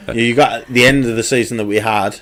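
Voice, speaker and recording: A man's voice: medium pitch, fairly bright tone. A man co-hosting a casual football chat from a small home studio, talking earnestly and rambling with many restarts. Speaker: co-host.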